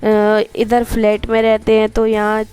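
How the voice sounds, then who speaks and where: A woman's voice: mid-range voice, completely flat delivery, measured pace, mellow, clear, resonant tone, faint music behind. Homely chatty woman with a cold. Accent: Hindi, from Madhya Pradesh. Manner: chatty, homely, rambling asides to viewers. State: hoarse from a cold. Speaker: vlogger. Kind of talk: casual voice-over narration of a daily routine.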